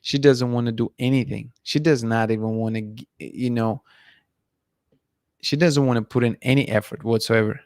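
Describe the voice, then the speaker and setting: Man in his thirties, hoarse voice, blunt and opinionated, dry close studio mic, livestream host. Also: mid-range voice, steady tone, normal speed, darkish tone, no echo. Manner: blunt, opinionated, thinks aloud with restarts. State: losing his voice, clearing throat. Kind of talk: casual livestream talk reading chat comments. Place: dim room at night, indoors. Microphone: studio mic in front of him.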